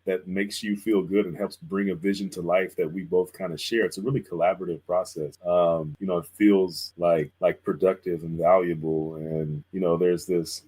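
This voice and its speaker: A man's voice: sits low, fairly even intonation, normal speed, few pauses, warm tone, husky, low-quality recording. A man talking casually and enthusiastically as a guest artist about creative work, in an informal way. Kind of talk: casual chat about making artwork.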